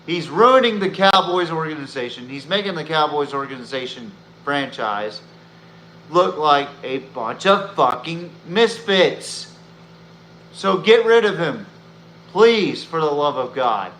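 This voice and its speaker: A man's voice: fairly high pitch, lively intonation, measured pace, fairly bright tone. A man hosting a sports podcast, giving blunt, profane, opinionated commentary like an exasperated fan. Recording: roomy echo, faint background noise.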